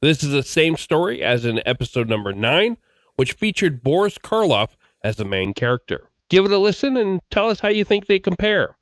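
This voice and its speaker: A man's voice: fairly high pitch, big pitch swings, moderate pace, no echo. A man hosting a podcast, reading a scripted radio-show style outro. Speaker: podcast host.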